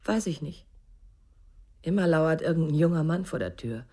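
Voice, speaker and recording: An actress playing an uneasy, guarded woman in a German radio crime drama, with a husky voice. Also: low voice, lively intonation, normal speed, dark tone. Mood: uneasy, resigned.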